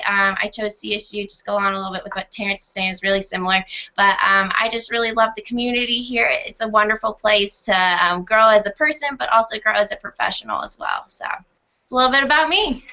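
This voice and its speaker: A woman's voice: fairly high pitch, pushed and bright, lo-fi sound. A young woman from Colorado, a college junior, introducing herself in a bubbly way. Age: young adult. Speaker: student panelist.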